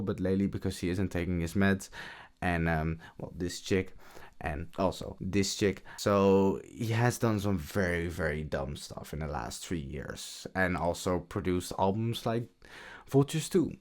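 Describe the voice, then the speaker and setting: A young man with a raspy voice, tired after a late concert, talking quietly in a slangy, rambling vlog. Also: low voice, normal speed. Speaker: vlogger. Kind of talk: casual late-night vlog commentary.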